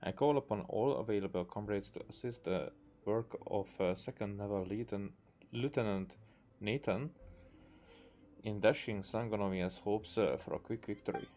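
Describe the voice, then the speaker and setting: A man doing casual gameplay commentary, reading game text aloud in a halting way and muttering short reactions. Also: lowish voice, a little mellow. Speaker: gaming video host. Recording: game music behind the voice. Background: video game music.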